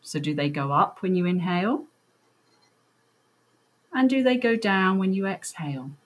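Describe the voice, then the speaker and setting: A woman leading a guided breathing meditation, giving gentle, reassuring, unhurried instructions. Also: mid-range voice, expressive.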